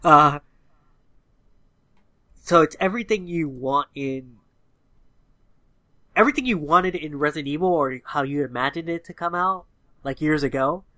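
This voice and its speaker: A man's voice: fairly high pitch, moderate pace, long pauses, bright, forceful tone, dry recording. Man with a nasal stuffy-nose voice. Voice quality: slightly nasal. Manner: rambling, filler-heavy, enthusiastic. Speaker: podcast co-host. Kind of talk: casual gaming chat.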